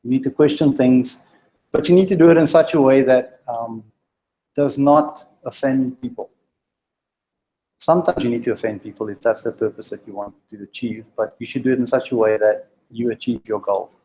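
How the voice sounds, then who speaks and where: A man's voice: medium pitch, mellow, rough recording. A man giving a direct leadership talk to a live group, stuttering, with many ums and restarts. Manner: halting, with frequent ums and restarts; direct, exhorting. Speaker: meetup speaker on leadership. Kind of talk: informal presentation to a live group.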